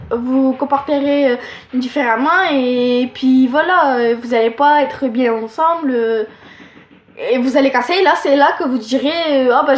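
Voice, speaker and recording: A woman's voice: high-pitched, reverberant. A teenage girl giving frank, rambling relationship advice, with a nasal, stuffed-up voice and lots of fillers. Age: teens. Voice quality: nasal from allergies. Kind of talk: casual advice monologue.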